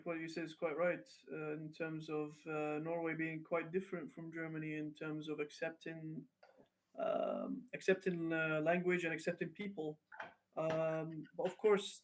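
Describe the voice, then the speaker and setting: Male activist guest from Palestine with a higher voice, careful and halting in his answers. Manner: halting, with frequent "uh" fillers. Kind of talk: interview answers.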